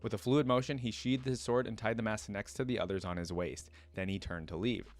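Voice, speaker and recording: A man's voice: fairly low pitch, steady tone, a little fast, no room sound. A man hosting a reaction video, reading a fantasy story aloud and breaking off for casual comments.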